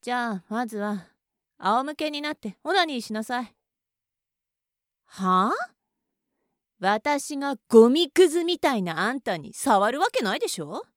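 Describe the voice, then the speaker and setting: A woman's voice: fairly high pitch, very animated, a little slow, long pauses, no echo, studio quality. A woman acting a contemptuous, domineering young woman in an adult audio roleplay, mocking and bossy. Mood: scornful.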